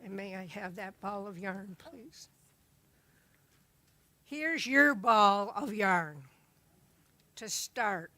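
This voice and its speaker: A woman addresses a church congregation in an unhurried, deliberate way, lingering over her words. She speaks in a middle range that she herself calls low, with a plain, homespun manner. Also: a bit bright. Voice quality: describes her own voice as low. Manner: slow, deliberate, homespun delivery. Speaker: church member speaking at a worship service. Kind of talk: gentle spoken reflection and hymn lead-in. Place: church sanctuary.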